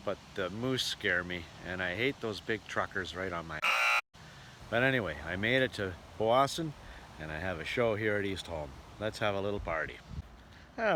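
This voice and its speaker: A man's voice: lowish voice, pushed and bright. A man talks casually to his video diary. He is chatty and rambling, as if amusing himself, with faint noise around him. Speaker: travelling musical entertainer and vlogger.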